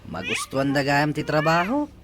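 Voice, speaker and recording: An actor playing a good-natured working husband in an Ilocano radio drama. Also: male voice, a little high, sing-song, moderate pace, background noise. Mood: easygoing.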